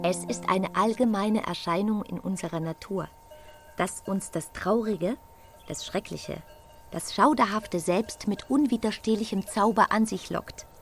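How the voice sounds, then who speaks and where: A woman reading a literary essay aloud in a radio production, with a measured, formal recitation. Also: medium pitch, soft background music. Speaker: reader.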